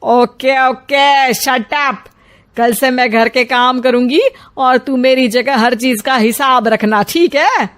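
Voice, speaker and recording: Woman acting a proud, slow-talking mother-in-law. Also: high voice, a bit bright. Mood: defiant. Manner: chiding, boastful. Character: proud, stubborn older mother-in-law, chiding and self-justifying.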